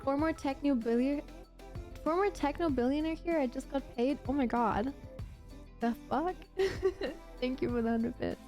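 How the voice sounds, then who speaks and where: Mellow, higher-pitched German woman streamer chatting. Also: normal speed, soft background music. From Germany, grew up in Berlin. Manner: gushing, emphatic, repeats herself. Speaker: livestreamer. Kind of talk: casual chat with live viewers.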